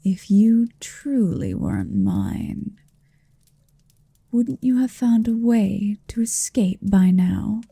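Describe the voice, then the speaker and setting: A woman's voice: medium pitch, big pitch swings, takes it slowly, creaky, warm tone, breathy edge, dry recording, heavily acted. A woman playing a huge, possessive, motherly female dragon in an ASMR roleplay, tenderly domineering and teasing, often whispering close to the mic. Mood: smug, tender. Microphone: close-up microphone, dry.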